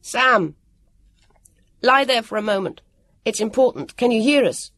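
A woman's voice: lowish voice, steady tone, a little slow, no room sound, clean studio sound, voice pushed up. Woman as an earnest, precise, dramatic boy. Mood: careful calling. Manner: clear, precise, emphatic.

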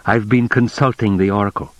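A man reading a novel aloud, voicing a formal, measured middle-aged Japanese official who speaks with precise, deliberate courtesy. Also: fairly low pitch, fairly even intonation, dry recording, highs cut off, natural voice.

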